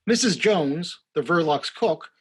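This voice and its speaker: A man's voice: on the high side, animated, unhurried, fairly bright tone, slightly rough, full character voice. A man narrating a live radio play in a scripted storytelling style.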